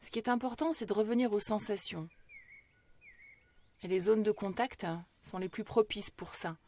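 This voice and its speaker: Female meditation guide, mid-pitched; calm, unhurried, soothing delivery with generous pauses between phrases. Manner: slow, measured delivery with long pauses. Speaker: yoga nidra meditation guide.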